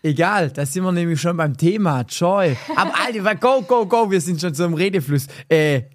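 A man with a Swabian accent hosting a casual podcast chat, his voice strained after a busy weekend, energetic and slangy, laughing often. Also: high voice, normal speed, no echo. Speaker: podcast host.

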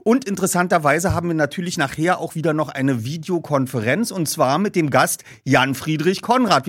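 A man's voice: medium pitch, no room sound, clean studio sound. A man speaking as a host, presenting to his listeners. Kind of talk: scripted ad read and casual show presenting.

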